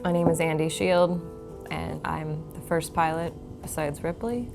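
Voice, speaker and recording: A woman's voice: low-pitched, flat delivery, measured pace. A woman playing a pilot in a casual tabletop roleplaying game. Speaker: tabletop player as pilot character. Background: clear background music.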